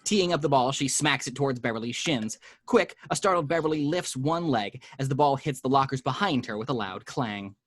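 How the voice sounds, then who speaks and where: A man reads a screenplay's action lines at home with vivid, measured delivery. His voice sits in a middle range and sounds dry and close, with no room echo. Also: mid-range voice, animated, a bit bright. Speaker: narrator reading action lines. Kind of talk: screenplay reading.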